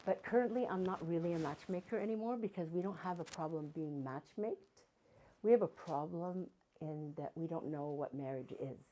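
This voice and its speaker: A woman's voice: deep voice, normal speed, mellow, rough recording. An older woman from Canada, a guest speaker and matchmaker, talking casually to a live audience and telling stories with playful asides. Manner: chatty storytelling with playful asides.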